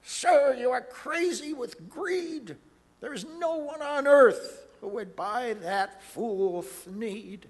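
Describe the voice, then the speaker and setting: A man voicing a bossy, indignant old forest creature who scolds loudly, in a storybook reading. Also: extremely high pitch, animated, takes it slowly, pitched above the performer's own voice, full character voice. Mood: outraged. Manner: shouting, puffing, scolding. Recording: reverberant hall.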